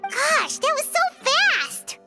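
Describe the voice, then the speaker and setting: A woman voicing a tiny, excitable, childlike fairy companion in a video game, with an exclamatory delivery. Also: extremely high pitch, slow pace, over loud music, exaggerated voice. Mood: astonished.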